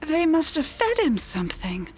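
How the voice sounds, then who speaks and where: An actress playing a distraught, trusting wife in an audio drama, anxious and hesitant. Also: female voice, high-pitched, wildly swooping pitch, normal speed, a little hiss or hum. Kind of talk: naturalistic audio drama acting.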